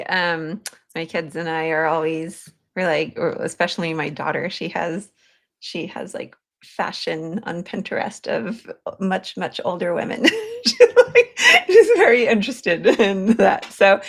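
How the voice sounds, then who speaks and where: Slow-paced woman host with creaky voice. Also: mid-range voice. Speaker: podcast host. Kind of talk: conversational interview.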